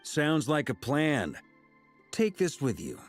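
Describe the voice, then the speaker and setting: A man's voice: a little high, wildly swooping pitch, takes it slowly, heavily acted. A man playing a jovial, easygoing seasoned knight in a video game, speaking in a hearty, casual, teasing way. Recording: music behind the voice. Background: background music.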